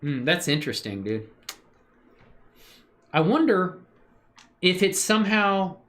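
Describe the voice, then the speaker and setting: A man's voice: high voice, big pitch swings, takes it slowly, fairly bright tone. A man hosting a casual livestream, chatting with viewers in a laid-back, slangy, appreciative way and thinking aloud as he works. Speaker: livestream host showing 3D animation work. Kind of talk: casual chat with live viewers.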